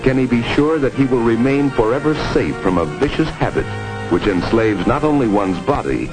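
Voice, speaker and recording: Narrator: American male, formal and emphatic, lively sweeping intonation, old film soundtrack with prominent music. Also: medium pitch, moderate pace, rough recording. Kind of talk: 1950s educational film narration, scripted.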